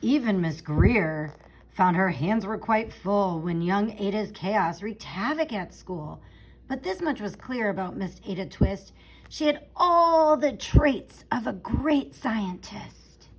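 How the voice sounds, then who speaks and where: Female storybook reader, very animated pitch, unhurried pace, theatrical emphasis, rhyming read-aloud. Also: slightly rough, rough recording. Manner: theatrical, emphatic, with drawn-out key words. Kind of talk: expressive children's picture book read-aloud in rhyme.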